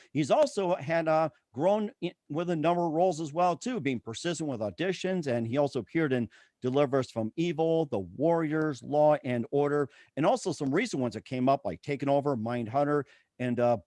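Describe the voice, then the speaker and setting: A man's voice: on the high side, darkish tone, no room sound, studio quality. A man hosting a show, reading plugs and introductions in a wordy way, sometimes stumbling over his words. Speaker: show host. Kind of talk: ad reads and interview hosting.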